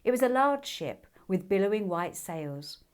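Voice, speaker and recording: A woman reading her own children's book as an expressive storybook narrator. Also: medium pitch, measured pace, smooth.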